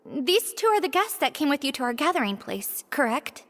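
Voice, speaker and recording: High-voiced, anxious, polite supplicant. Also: female voice, very animated, fairly quick, big-room sound. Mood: anxious, pleading. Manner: formal, apologetic, pleading. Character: anxious, polite young woman pleading for her lover. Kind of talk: scripted video game voice acting.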